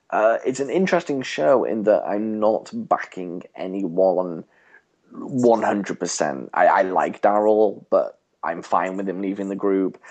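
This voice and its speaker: A man co-hosting a podcast, casually discussing a TV show in a halting, thinking-aloud way. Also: on the low side, dull audio. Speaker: podcast co-host.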